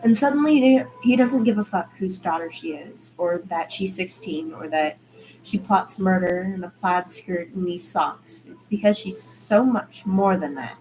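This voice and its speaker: A woman's voice: on the low side, unhurried, darkish tone, soft background music, rough recording. A woman reading a story aloud in audiobook style, occasionally stumbling and restarting her phrases. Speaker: fan fiction reader.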